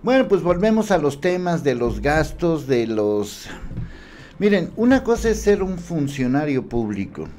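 A man's voice: on the high side, a little slow. Indignant Mexican man on a commentary show. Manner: emphatic, indignant, animated hand gestures. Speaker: political commentator. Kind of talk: opinion commentary to camera. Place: small studio with city backdrop. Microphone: studio mic in frame.

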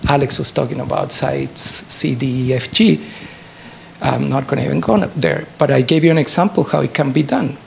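Chilean-accented male academic, native Spanish speaker, heavy vocal fry, emphatic explainer in a noisy lecture theatre. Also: mid-range voice. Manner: animated explaining, short emphatic statements, rhetorical questions. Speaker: professor giving a talk. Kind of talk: answering an audience question at a public lecture. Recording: noisy lecture hall recording.

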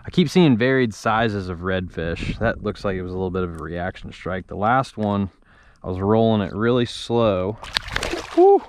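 Low-voiced young man, noisy outdoor recording. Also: moderate pace. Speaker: fishing vlogger. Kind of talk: casual fishing vlog commentary. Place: outdoors, on open shallow bay water. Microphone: action camera worn or held close. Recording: outdoor camera with loud wind and water noise.

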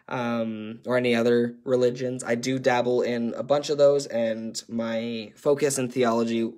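A man's voice: medium pitch, darkish tone. A young man with a Canadian accent leading an online workshop, speaking earnestly and hesitantly with many filler sounds. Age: young adult. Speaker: workshop facilitator.

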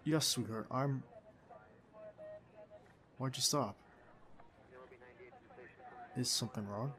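A man's voice: medium pitch, slow pace, fairly bright tone. A man playing a young male field agent, a doting, stubborn, playful boyfriend, in an ASMR roleplay audio drama. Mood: tender, teasing. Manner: affectionate, teasing, protesting.

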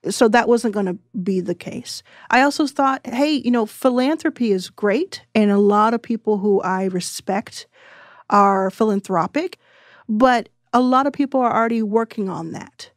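A woman in her thirties speaking as a podcast guest, explaining her views in an earnest, measured way. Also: fairly high pitch, no room sound, clean studio sound. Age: 30s. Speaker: guest investor. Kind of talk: reflective podcast interview.

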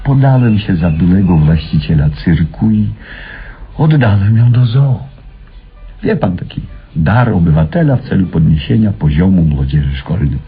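A man acting in a vintage radio play as an eccentric, excitable chemist who rambles with melancholy, halting and then exclaiming theatrically. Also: sits low, breathy edge, full character voice. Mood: mournful, confiding. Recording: muffled old radio recording with music.

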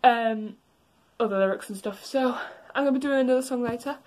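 A woman's voice: fairly high pitch, fairly quick, darkish tone. Young woman chatting casually, a bit hesitant. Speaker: amateur cosplayer and songwriter. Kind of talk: casual vlog chat. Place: small bedroom. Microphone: phone at arm's length.

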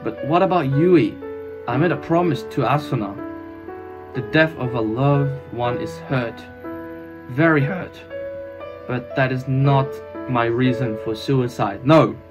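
A man playing an anguished, devoted young swordsman in an amateur anime fan drama, with melodramatic, halting delivery and stilted English over music. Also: mid-range voice, lightly acted.

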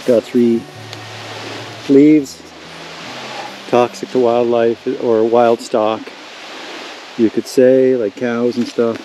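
An older man, a homesteader who casually narrates as he walks outdoors through woods and swamp, pointing things out in a relaxed, rambling way. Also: medium pitch, noisy background. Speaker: homesteader vlogger.

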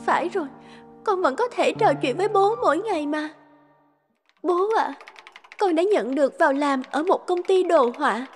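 A high, soft-spoken young woman, grieving and tearful, the heroine of an animated drama. Her lines sit over loud background music. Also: moderate pace. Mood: tender. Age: young adult. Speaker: voice actor.

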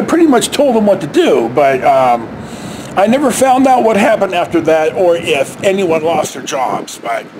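High-pitched American man telling a rambling anecdote. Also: noisy background. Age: middle-aged. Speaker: storyteller, vlogger. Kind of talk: casual anecdote to camera. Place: outdoors beside a stucco house wall. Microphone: handheld camera or phone at arm's length.

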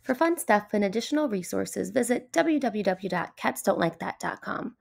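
A woman hosting a children's story time, reading aloud in an expressive, deliberate storytelling delivery. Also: medium pitch, gravelly. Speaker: storyteller, host of a read-aloud show.

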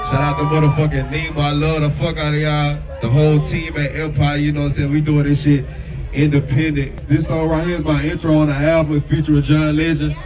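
A man hosting his album listening party, thanking the crowd over a handheld mic with profane, grateful shout-outs. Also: on the high side, muffled recording. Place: crowded outdoor party at night. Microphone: handheld mic through a PA. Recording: distant PA sound over crowd noise. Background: loud crowd and music.

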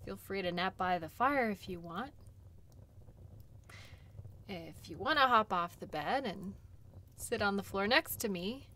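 A woman playing a friendly, caring dog sled guide in an intimate audio role play, gentle and teasing. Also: medium pitch, expressive, unhurried, long pauses.

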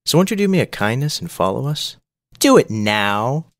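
A man's voice: medium pitch, big pitch swings, normal speed, dry recording, studio quality. A man reading a scripted promo for a podcast, playful and addressing the listener directly.

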